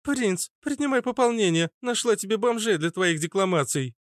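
A man's voice: high voice, lively intonation, dry recording, clean studio sound, voice pushed up. A man voicing a brash, cheeky young woman with insolent, slangy delivery in an audiobook story. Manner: insolent, slangy, quick to snap. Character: brash, feisty red-haired young woman, cheeky and rude.

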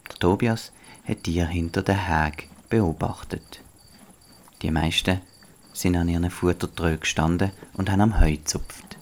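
A man narrates a scripted story for children in a deep voice. He speaks with a Swiss German accent, as the storyteller of an audio play. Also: steady tone, a little slow.

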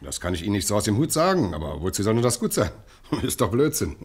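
Grumbly electrician guy in a radio crime play, lowish voice, curt and defensive. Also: sing-song, fast talker, a little hiss or hum. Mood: indignant, puzzled. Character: grumpy, defensive male electrician under questioning. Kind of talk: German radio crime drama acting.

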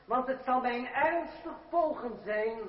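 A man's voice: very high-pitched, slow pace. Radio play: defiant male deputy, formal oratory, distant and muffled in an echoing chamber. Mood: indignant. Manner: rhetorical, sardonic, formal. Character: defiant, eloquent young revolutionary deputy addressing an assembly. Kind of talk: old radio drama oratory. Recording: dull old radio recording, echoey hall, crowd. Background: noisy assembly crowd.

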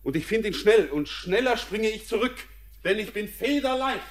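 An actor playing a feverish young miner in a German radio drama, desperate and impassioned in theatrical, verse-like declamation. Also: male voice, sits high, animated, normal speed. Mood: feverish, agitated.

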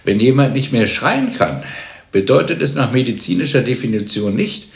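An actor in a radio play playing a gruff, matter-of-fact pathologist, laconic and absorbed in his work. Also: mid-range voice, sing-song, normal speed, noisy background. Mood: dry, busy. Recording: echoey room, loud working noises.

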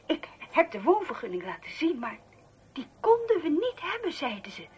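An actress in a radio play playing an anxious, pleading wife who is frightened. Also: female voice, high-pitched, wildly swooping pitch, normal speed, darkish tone, muffled recording. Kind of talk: Dutch radio play acting.

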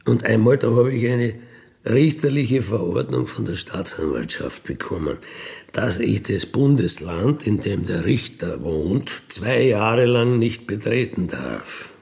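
Gravelly man, blunt storyteller. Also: on the low side, lively intonation, unhurried, a little mellow. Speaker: ex-convict telling his experiences. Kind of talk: colloquial spoken monologue, recounting.

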